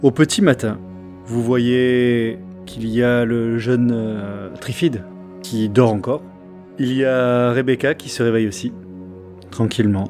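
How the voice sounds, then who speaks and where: A man narrating as game master of a tabletop game, halting with many fillers and restarts. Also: mid-range voice, fairly even intonation, over music.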